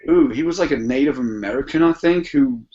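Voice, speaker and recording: A man's voice: medium pitch, brisk, a little mellow. A man chatting casually as a podcast guest, rambling and often repeating phrases as he feels his way through a thought. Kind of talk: casual chat. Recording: dull, muffled lo-fi podcast audio.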